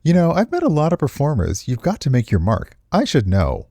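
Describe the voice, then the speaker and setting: Visual novel role: genteel older hostess, read by a man, condescending yet well-meaning, lifted pitch with big melodic swings. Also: wildly swooping pitch, a little fast, mellow, dry recording, clean studio sound, heavily acted. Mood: patronising. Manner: patronising, airy, genteel.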